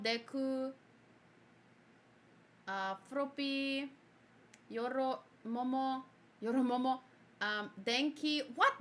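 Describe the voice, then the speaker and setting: Bright high-voiced giggly woman. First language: Afrikaans. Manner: chatty, giggly, jumps between loud outbursts and mumbling to herself. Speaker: anime reviewer. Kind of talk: casual review chat reacting to her own old video.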